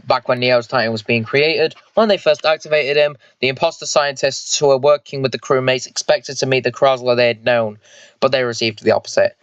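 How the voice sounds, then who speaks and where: A man's voice: medium pitch, steady tone, a little fast, smooth, dry recording, highs cut off. A man reading scripted story narration, stumbling now and then over his lines. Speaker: voice actor and narrator.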